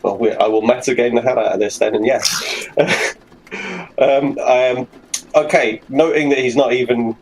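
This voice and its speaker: A man's voice: medium pitch, fairly even intonation, a little slow, low-quality recording. A man casually describing his character's actions as a player in a tabletop game, wordy and thinking aloud. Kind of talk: casual improvised play description. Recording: clear background noise, room ambience.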